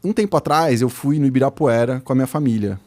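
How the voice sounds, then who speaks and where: A man's voice: medium pitch, fast, a little mellow. A man chatting informally and explaining things as a podcast host. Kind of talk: casual podcast chat.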